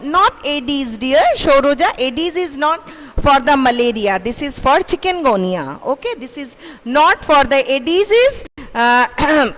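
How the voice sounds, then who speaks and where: A young woman teacher with a Bengali English accent, giving an online science class to children, halting with many fillers and putting questions to pupils. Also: high voice, fairly bright tone. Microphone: microphone in front of her. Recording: roomy reverb, faint hiss.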